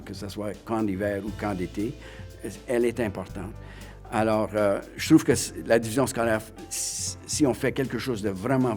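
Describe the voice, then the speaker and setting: A man, speaking haltingly in a documentary interview. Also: lowish voice, darkish tone. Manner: halting, frequent fillers.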